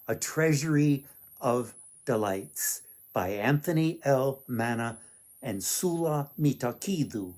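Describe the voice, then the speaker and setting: Slow, mellow male storyteller. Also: mid-range voice. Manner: deliberate phrasing with dramatic emphasis and pauses. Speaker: author and storyteller. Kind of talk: storybook reading for children.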